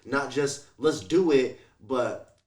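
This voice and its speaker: A man speaks at a middling pitch in a casual interview. He tells stories loosely, with slang and swearing, often checking in with his listener and voicing little quotes as he goes. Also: takes it slowly. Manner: slangy, swears, acts out quotes, frequent "like" and "you feel me". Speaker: interview guest, rapper. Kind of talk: casual, animated storytelling chat.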